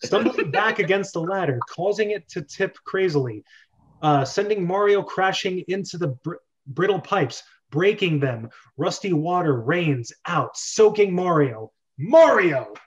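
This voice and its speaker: A man's voice: high voice, expressive, measured pace, slightly rough, lo-fi sound. A man in his thirties hosting a script read-through, narrating screenplay directions and chatting casually over a video call. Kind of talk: reading screenplay directions amid casual chat. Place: bedroom at home.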